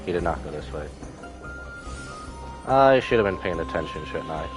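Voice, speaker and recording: A man's voice: sits low, animated, normal speed, music in the background. A man giving casual live gameplay commentary, muttering questions to himself as he goes. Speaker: game streamer.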